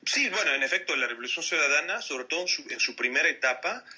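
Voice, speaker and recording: A man's voice: a little high, animated, sharp tone. A man from Italy giving political analysis as a radio interview guest over a Skype call, searching for words and repeating himself. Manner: halting, careful word-searching with repetitions.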